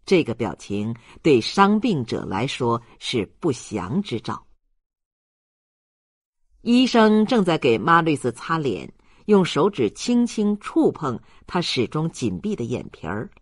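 An audiobook narrator reading a literary story in a measured, dramatic storytelling manner. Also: medium pitch, husky, no echo, studio quality.

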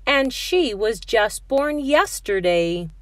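A proud little animal pupil in a children's storybook, voiced by a woman. The delivery is earnest and friendly, with childlike explaining and lively swings in pitch. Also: slow pace, no room sound.

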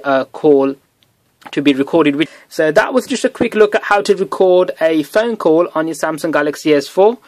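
A man hosting a casual phone tutorial, relaxed and chatty with many fillers. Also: fairly high pitch, dry recording. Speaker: tech video host. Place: indoors at a desk.

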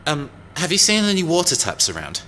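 An actor playing an eager, defensive young tech whiz pilot in an audio drama, cheeky and a little hesitant. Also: male voice, on the high side, huge pitch swings, brisk, pushed and bright, a little hiss or hum, full character voice. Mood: hesitant, practical.

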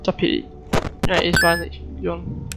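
A young-sounding or adult gamer of mid-pitched voice talks casually through gameplay. The commentary rambles and drops into muttered asides, with game music clearly playing behind. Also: monotone, a little slow. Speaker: gamer, video host.

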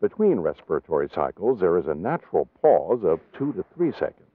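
Deep-voiced American male instructional narrator. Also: very animated, dry recording, narrow, tinny audio. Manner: measured, formal, precise enunciation. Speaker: training film narrator.

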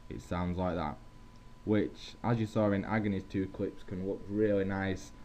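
A young man giving a casual software tutorial as host, on a headset mic. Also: low-pitched, steady tone, unhurried. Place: at a computer. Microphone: gaming headset. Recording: dull headset mic with faint hiss.

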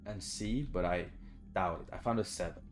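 A man's voice: deep voice, normal speed, faint music behind. A young adult man giving casual, muttered video game commentary indoors, frustrated and swearing freely. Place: indoor room at home.

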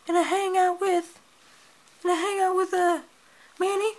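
A performer voicing a small, friendly male hedgehog who rambles and fumbles his words in a squeaky way. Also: level tone, normal speed. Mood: cheerful.

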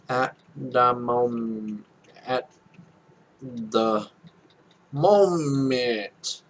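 Young adult male gamer, mid-range voice, slow delivery, irreverent and foul-mouthed, narrating a game casually. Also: medium pitch, slight background noise. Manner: irreverent, sweary. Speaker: let's play host. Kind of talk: let's play, reading game text aloud with casual asides.

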